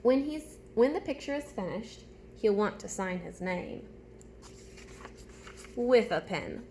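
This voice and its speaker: A woman's voice: mid-range voice, expressive, slow, dark tone, slight background noise. A woman teacher reading a storybook aloud to young children, chatting to them directly with simple questions and step-by-step prompts.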